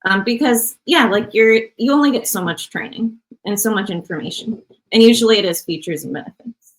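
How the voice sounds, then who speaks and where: Relaxed woman guest, filler-heavy speech. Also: mid-range voice, fairly quick. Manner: relaxed, rambling, many fillers like "um" and "like". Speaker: podcast guest, medical device sales rep. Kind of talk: casual podcast conversation.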